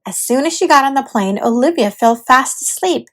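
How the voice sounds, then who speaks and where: Casting: female read-aloud storyteller, high register, lively melodic swings, deliberate delivery for children's books.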